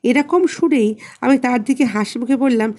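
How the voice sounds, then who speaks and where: A woman reads a literary story as an audiobook narrator, in a measured, expressive way with deliberate pauses. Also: sits high.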